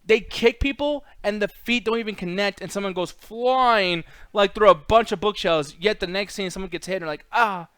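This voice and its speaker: Higher-voiced man, profane casual ranting. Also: moderate pace, few pauses, a bit bright, clear, resonant tone, no room sound. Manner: mimics characters in mocking quotes. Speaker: podcast host. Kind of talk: casual opinionated movie ranking chat.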